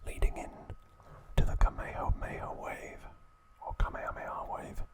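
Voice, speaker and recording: A man narrating scripted trivia as an ASMR host in a soft, breathy, unhurried whisper.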